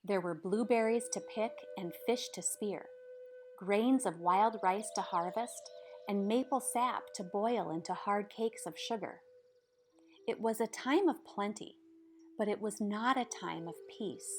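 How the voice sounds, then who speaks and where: A woman reads a storybook aloud at a mid-range pitch, with faint music behind her. Her delivery is measured and expressive, shaped as storytelling with deliberate dramatic pauses between phrases. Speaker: storybook reader. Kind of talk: read-aloud storybook narration. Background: background music.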